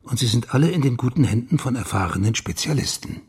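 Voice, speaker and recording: An actor playing a sceptical, probing senior police official in a radio crime drama, with a dry, guarded manner. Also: male voice, medium pitch, expressive, quick pace, darkish tone, breathy edge. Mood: placating.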